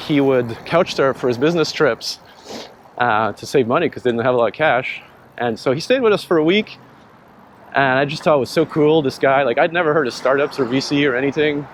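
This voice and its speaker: A man in his thirties with a French accent, talking casually while out riding, in a relaxed, rambling way with many restarts. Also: medium pitch, background noise. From France. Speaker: startup founder. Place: outdoors on city streets.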